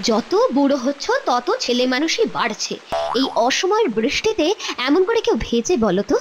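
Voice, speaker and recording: A woman playing a scolding, fretful queen in a kids' cartoon, nagging and expressive. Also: high voice, normal speed, soft background music. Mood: scolding, worried. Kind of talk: Bengali kids' cartoon voice acting.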